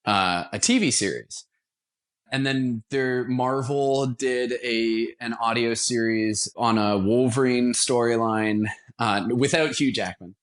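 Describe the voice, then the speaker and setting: A man's voice: mid-range voice, takes it slowly. A man speaking as a guest in a casual podcast interview, with an enthusiastic, conversational manner. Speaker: interview guest, radio theater producer.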